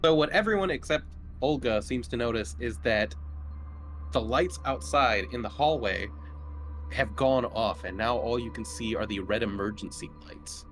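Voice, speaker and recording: A man running a tabletop horror game, narrating casually and haltingly as he feels out each description. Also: mid-range voice, a bit bright, a little hiss or hum. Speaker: game master.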